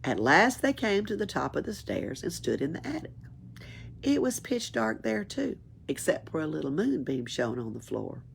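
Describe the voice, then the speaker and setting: A woman with a husky voice reads a children's story aloud in a dramatic, emphatic way. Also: low voice, big pitch swings, moderate pace, slight background noise. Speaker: library story reader. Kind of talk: expressive storybook read-aloud.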